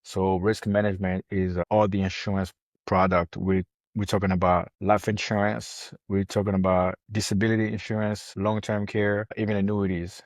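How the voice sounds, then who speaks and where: A man talks as a guest expert in an interview. His voice is low and stays fairly flat in pitch, recorded dry. He speaks conversationally, sometimes repeating words as he finds his phrasing. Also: normal speed, dry recording. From France. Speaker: podcast guest, financial planner.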